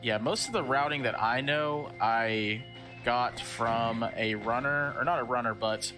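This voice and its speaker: A male speedrunner talks at an ordinary pitch in an easygoing, rambling way. Game music plays clearly behind him. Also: a little slow. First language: English. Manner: relaxed, chatty, frequent fillers. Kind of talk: casual commentary while playing.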